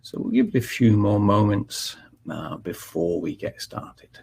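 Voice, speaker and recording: Gravelly low-voiced Scottish man presenting. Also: a little slow, darkish tone. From Scotland. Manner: conversational, many fillers and restarts. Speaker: webinar presenter, technical manager. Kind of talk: live webinar presentation.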